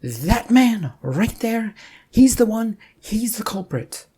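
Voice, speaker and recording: A narrator voicing a blustering, accusing male office boss who talks loudly and indignantly. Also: mid-range voice, sing-song, slow pace, husky, voice pushed up, exaggerated voice. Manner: loud, indignant, pushy.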